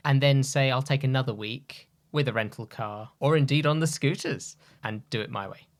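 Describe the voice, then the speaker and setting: A man's voice: medium pitch, moderate pace. A man hosting an interview, asking friendly questions and summing up his guest's points. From United Kingdom. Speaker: host. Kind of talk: conversational interview.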